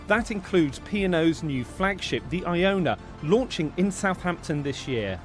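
A man narrating a news report as the reporter, inquisitive and lively. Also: high voice, unhurried, faint music behind.

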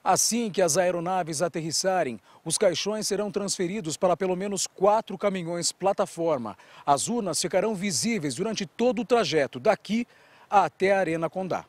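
A man's voice: high voice, animated, moderate pace. A man with a Brazilian Portuguese accent giving a solemn news report outdoors into a handheld mic. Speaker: field reporter. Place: outdoors at an airport and a stadium.

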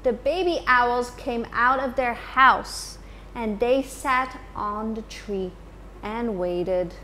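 High-voiced teacher reading aloud slowly. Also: female voice, slight background noise, poor audio. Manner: slow, expressive, addressing children. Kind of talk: children's storytime reading. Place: indoor school library.

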